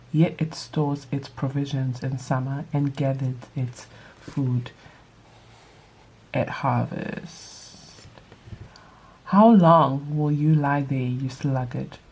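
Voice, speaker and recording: A young woman with a nasal, allergy-stuffed voice, reading scripture aloud and adding quick casual asides in a Bible study vlog. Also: rumbling low, mellow, slightly rough, rough recording. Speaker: vlogger leading a Bible study.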